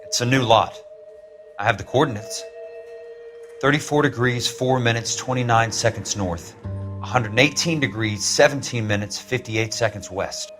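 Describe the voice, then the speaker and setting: Actor as a blunt, driven investigator, music behind. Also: male voice, mid-range voice, normal speed, a bit bright, natural voice. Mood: businesslike. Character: blunt, driven male investigator and war veteran. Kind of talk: video game drama acting. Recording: music under the voice.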